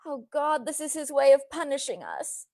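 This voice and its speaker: A woman acting a frightened young wife under a vampire's curse in a radio play. Her extremely high voice is tremulous, pleading and melodramatic. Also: lively intonation, normal speed, warm tone. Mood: anguished.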